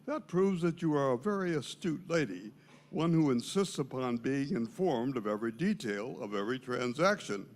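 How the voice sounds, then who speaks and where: A man reading the part of a slick, flattering telephone salesman in an amateur comic skit, with smarmy, wordy sales patter. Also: mid-range voice, normal speed, lightly acted. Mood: smooth-talking, pushy.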